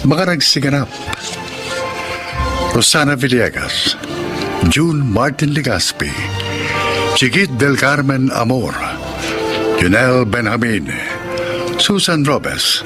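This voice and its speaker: A Filipino male radio drama announcer with a slow, dramatic delivery. His pitch rises and falls widely over loud music. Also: lively intonation. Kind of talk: dramatic scripted narration, announcing.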